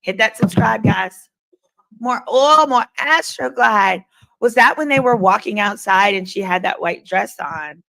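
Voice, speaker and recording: Creaky, bright-voiced woman livestreaming commentary. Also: medium pitch, moderate pace, few pauses, rough recording. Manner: informal, opinionated, conversational. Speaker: livestream host. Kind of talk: casual live trial commentary, reading chat comments and updates aloud.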